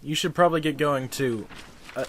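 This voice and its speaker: A man's voice: fairly high pitch, normal speed, a little hiss or hum, lightly acted. Man acting a wry, casual schoolboy. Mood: wry, doubtful. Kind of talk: audio drama acting.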